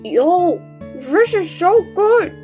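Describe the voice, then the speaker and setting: High-pitched eager monster kid. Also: female voice, sing-song, extremely slow, mellow, faint music behind, full character voice. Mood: eager, excited. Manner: eager, childlike, informal. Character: excitable, cheerful little monster kid. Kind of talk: audio drama acting. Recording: dull, slightly lo-fi.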